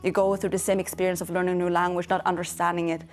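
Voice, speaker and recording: A young woman from western Norway speaks English with a Norwegian accent, earnest and measured, in a reflective interview. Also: lowish voice, level tone, faint music behind. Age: young adult. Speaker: founder of a storytelling organisation, interviewee. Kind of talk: reflective interview monologue to camera. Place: dark indoor studio.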